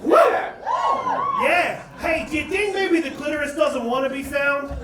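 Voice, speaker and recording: A man with a strikingly high voice does stand-up. He sounds a bit far from the mic in an echoey venue, with a noisy audience. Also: a little slow, a crowd in the background. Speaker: stand-up comedian. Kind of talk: stand-up comedy. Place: on stage in a comedy venue. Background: live audience.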